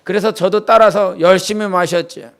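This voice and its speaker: A man's voice: high voice, fairly even intonation, moderate pace, vocal fry, smooth. A male pastor from the Jeolla region preaching a Wednesday church sermon, moving between earnest teaching and homely stories from his childhood. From Jeolla, South Korea. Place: church sanctuary.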